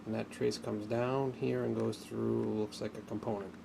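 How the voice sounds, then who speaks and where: Creaky low-voiced man thinking aloud. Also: level tone. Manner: halting, thinking aloud while working. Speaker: hobbyist demonstrating an electronics repair. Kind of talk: unscripted hands-on tutorial narration. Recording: noticeable background noise.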